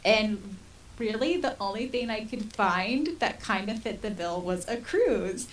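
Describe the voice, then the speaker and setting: A woman in her thirties with a mid-pitched voice talks as a podcast host. She is chatty and colloquial, poking fun at herself as she tells stories. The recording sits slightly away from the mic, with a faint hiss underneath. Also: expressive, unhurried, recorded from a distance, low-quality recording. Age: 30s. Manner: chatty, self-deprecating, colloquial. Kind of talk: casual personal storytelling.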